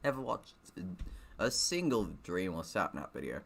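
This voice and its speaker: Low, creaky-voiced man reading a story aloud. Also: sing-song, moderate pace. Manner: rambling, self-interrupting. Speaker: gaming video host. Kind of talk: reading a visual novel aloud with casual asides.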